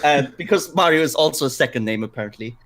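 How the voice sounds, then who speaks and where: Casual male podcast co-host, slightly high voice, storytelling with emphatic repetition. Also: fast talker, fairly bright tone. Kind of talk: casual podcast chat about games and films.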